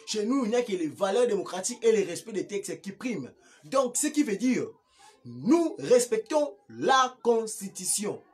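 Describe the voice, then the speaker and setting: A man's voice: sits high, very animated, normal speed. A man with a Congolese accent giving impromptu political commentary in an emphatic, repetitive way, often stumbling and restarting. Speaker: political party activist and commentator.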